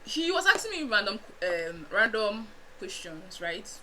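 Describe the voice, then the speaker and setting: Bright-voiced Nigerian woman telling a story. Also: mid-range voice, a little slow, a little hiss or hum. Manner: animated, laughs often, chatty asides to viewers. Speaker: vlogger. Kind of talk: casual storytime chat.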